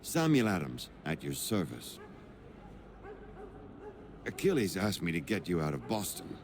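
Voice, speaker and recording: An actor playing a genial, self-assured colonial gentleman in a video game, wry and articulate, with ambient noise behind. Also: low-pitched, huge pitch swings, unhurried, heavily acted. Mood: wry, courteous. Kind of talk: video game cutscene acting.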